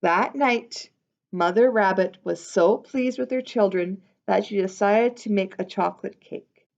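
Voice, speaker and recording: A woman reading a picture book aloud to young listeners in an expressive, unhurried way, adding simple explanations in casual asides. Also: medium pitch, vocal fry, muffled recording.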